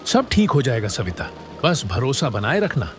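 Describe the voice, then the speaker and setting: Man acting expressive poor village husband, music behind. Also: mid-range voice, moderate pace, close to an everyday voice. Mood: reassuring. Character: poor village husband, woodcutter, gentle and hopeful. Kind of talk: Hindi cartoon moral story dialogue. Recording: loud background music.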